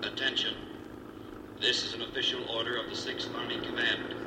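Actor as formal military announcer, echoing and noisy. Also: male voice, mid-range voice, monotone, brisk, lightly acted. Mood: grave, official. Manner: formal, clipped public-address delivery. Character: stern military announcer issuing countdowns and evacuation orders. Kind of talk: 1950s radio drama acting. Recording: noisy, echoing 1950s radio broadcast recording.

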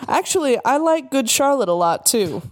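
Woman plays young male goth Satan in comic story: gushing, gasping, lively pitch. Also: moderate pace. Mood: gushing excitement. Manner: breathless gasping enthusiasm.

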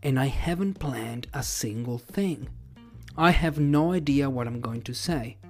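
A man in his thirties, a native Italian speaker, hosting a podcast and telling a personal story in a relaxed, measured way. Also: mid-range voice, faint music behind. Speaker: podcast host and English teacher.

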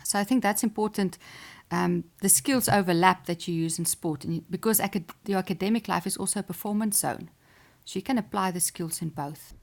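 A woman's voice: fairly low pitch, brisk, creaky. A woman, an Afrikaans speaker, answering interview questions as a chess player and sports psychologist in an earnest, encouraging way. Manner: earnest, encouraging, with small restarts and soft laughs.

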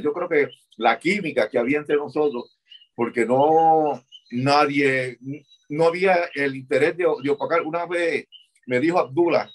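Husky older man reminiscing. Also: fairly high pitch. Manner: earnest, repeats words as he recalls. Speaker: interviewee, former wrestling manager. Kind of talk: reminiscing interview answers.

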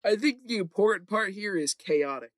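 A man joins a casual podcast chat as a joking co-host. His voice is fairly high and swings widely in pitch. The sound is very dry, and his remarks are short and wry. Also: animated, moderate pace, no echo. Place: online voice call, dry sound.

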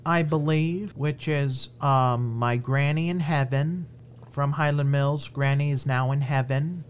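A man guest answering in a slow, halting way with short, simple sentences. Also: fairly high pitch, fairly even intonation, a little hiss or hum.

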